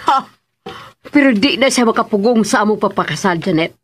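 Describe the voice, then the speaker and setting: A woman's voice: medium pitch, lively intonation, fairly quick, breathy edge. An actress playing an agitated, scheming middle-aged mother in a melodramatic radio drama, emphatic and exclamatory. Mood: indignant.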